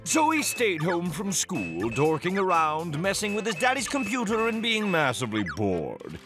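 A man playing an excitable, silly storybook narrator for a children's cartoon, with a playful, exaggerated, mock-dramatic delivery. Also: high-pitched, moderate pace, over music.

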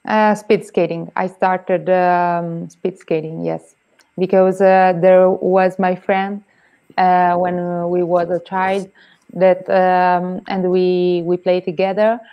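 A woman's voice: lowish voice, level tone, slow pace, clear, resonant tone, muffled recording. A woman in her twenties from Italy with an Italian accent, answering questions in English hesitantly, with many fillers and shy laughs, on a video call through earphones. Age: 20s. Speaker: guest athlete. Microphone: earphone mic on video call.

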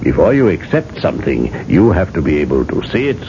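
An actor playing a mysterious, unhurried seller of eternal life in a radio drama, measured and gently amused. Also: male voice, very deep voice, sing-song, vocal fry, heavily acted. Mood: enigmatic, amused. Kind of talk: 1980s radio drama acting. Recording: dull, hissy old radio recording.

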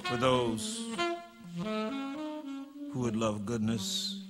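A man's voice: high voice, wildly swooping pitch. Man's reverberant, incantatory poetry performance. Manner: incantatory, emphatic, repeating phrases with drawn-out words. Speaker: spoken-word poet. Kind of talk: dramatic political poetry performance over saxophone. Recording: long hall reverb, saxophone playing along. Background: live saxophone music.

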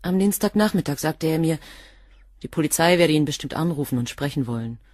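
Guarded, husky, coolly defiant assistant. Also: female voice, deep voice, lively intonation, fairly quick, darkish tone, no room sound, studio quality. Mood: defiant, controlled. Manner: precise, cool, clipped answers. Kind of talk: German crime radio play dialogue.

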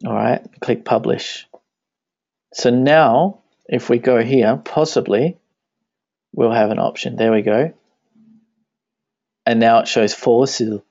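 A man's voice: mid-range voice, steady tone, takes it slowly, creaky, long pauses, a little mellow, dry recording. Casual man talking through a screencast. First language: Danish. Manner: casual, thinking aloud while clicking. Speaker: web tutorial instructor. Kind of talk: screencast tutorial walkthrough. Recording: dry, dull lo-fi screen recording.

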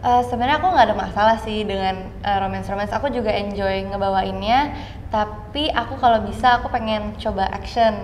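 A woman's voice: medium pitch, brisk, echoey room, long reverb tail, slight background noise. A young woman from Indonesia answering interview questions casually, mixing English words into her slangy talk. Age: young adult. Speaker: actress being interviewed. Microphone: clip-on lapel mic.

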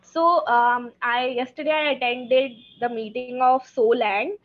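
Young indian woman on a call, mid-pitched, earnest, bit muffled. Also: steady tone, unhurried. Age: young adult. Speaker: student introducing herself. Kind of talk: casual video call chat. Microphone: video call.

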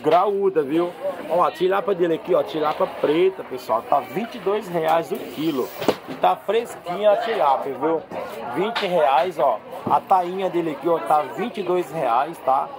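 A Brazilian man with a fairly high voice talks casually to his viewers as a street market vlogger. He is chatty and keeps tacking on short repeated tags. He is recorded outdoors with some crowd noise around him. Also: unhurried. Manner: chatty, repeats tag phrases.

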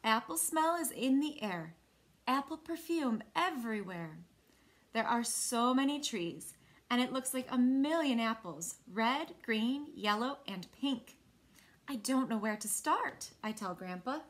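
Higher-voiced American woman reading a children's story. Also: expressive, a little slow. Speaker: storyteller reading a picture book. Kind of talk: children's read-aloud storytelling. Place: small indoor room.

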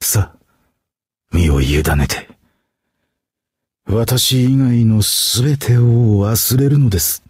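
Game role: masked fox phantom thief, flowery and courtly, widely swooping pitch, unhurried, dry close sound. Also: male voice, big pitch swings, darkish tone, breathy edge, no room sound, exaggerated voice. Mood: seductive. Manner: flowery, courtly, dramatic, drawn-out phrasing. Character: theatrical masked fox gentleman phantom thief, suave and poetic. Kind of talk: stylized Japanese game character voice acting.

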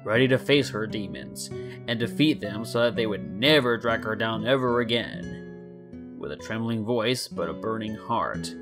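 A man's voice: lowish voice, expressive, a little slow, over music. A man in his twenties reading a scripted story as a dramatic narrator. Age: 20s. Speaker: story narrator and channel host.

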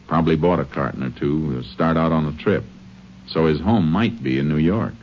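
A muffled old radio western recording with faint noise carries a very deep-voiced Texas Ranger. He is calm and determined, clipped and matter-of-fact as he investigates and narrates. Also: normal speed. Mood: businesslike. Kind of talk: 1950 radio crime western acting and narration.

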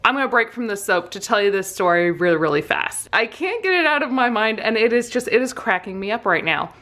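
A middle-aged woman casually telling a personal story in an amused, self-deprecating, animated way, as a vlogger would. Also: medium pitch, brisk. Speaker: vlogger and soap maker.

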